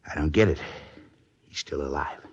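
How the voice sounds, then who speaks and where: A dying soldier, Bat Ears. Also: male voice, normal speed. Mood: weary, grim. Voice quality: deep, husky, weary. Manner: tired, fatalistic, mutters. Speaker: soldier on expedition. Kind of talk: 1950s radio drama acting. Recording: dull vintage radio broadcast.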